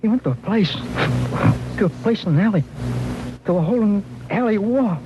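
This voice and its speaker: Broken, halting radio-drama drunk, muffled. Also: male voice, high-pitched, lively intonation. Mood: haunted. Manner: halting, repetitive, slurred rambling. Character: broken, fearful, rambling alcoholic informant man. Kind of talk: 1950s radio crime drama acting. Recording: muffled old radio recording, music behind.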